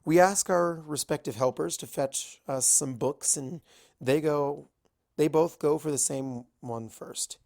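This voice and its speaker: A man's voice: fairly high pitch, a little slow, gravelly, a little mellow. A man reading a first-person story aloud as an amateur narrator. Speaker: amateur fan fiction reader.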